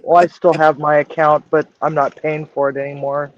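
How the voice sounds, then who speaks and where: A woman with a low voice, chatting frankly and casually as a regular on a livestream. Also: little pitch movement, normal speed, muffled recording, a little hiss or hum. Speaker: chef and regular co-host.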